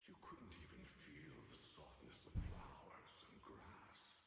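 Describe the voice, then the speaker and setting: Weary guardian warrior, video game, grave, very low, heavy reverb over noisy ambience. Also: male voice, very animated, full character voice. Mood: brooding. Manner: grave, deliberate, formal. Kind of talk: dramatic video game voice acting.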